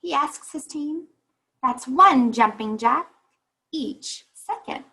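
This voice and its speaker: A woman reading a children's picture book aloud like a teacher, pausing to think aloud and explain. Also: high-pitched, expressive, sharp tone.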